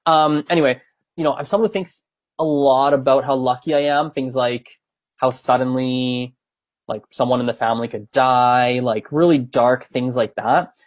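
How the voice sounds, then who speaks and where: A young man whose first language is Gujarati, answering viewer questions in a relaxed, rambling way at a mid-range pitch. He muses aloud and circles back on his own sentences. His voice comes through slightly dull, lo-fi stream audio. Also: moderate pace. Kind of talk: casual livestream chat. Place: at home.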